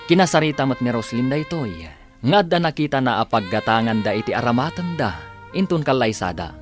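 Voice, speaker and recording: A male Ilocano radio drama announcer reads scripted narration with a lively, swinging delivery. Music plays beneath his voice. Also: medium pitch, a little fast. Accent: Filipino (Ilocano). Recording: music bed under the voice.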